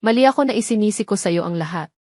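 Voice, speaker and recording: A remorseful mother pleads with the man she loves, as a woman narrator reads her. She is frightened and halting, in audio drama style. The voice is mid-pitched in a very dry recording. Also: expressive, dark tone, no echo.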